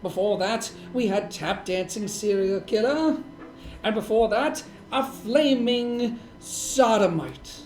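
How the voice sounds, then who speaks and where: Woman playing a slow, deadpan hardboiled male detective. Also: mid-range voice, close to an everyday voice. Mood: weary, brooding. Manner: deadpan, dry, measured. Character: wry, weary male hardboiled hotel detective. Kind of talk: noir parody dialogue and narration. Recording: roomy echo, faint music underneath.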